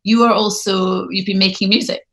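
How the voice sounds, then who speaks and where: A woman's voice: medium pitch, steady tone, moderate pace. A woman working as a radio host, chatting casually and in a friendly way with a guest.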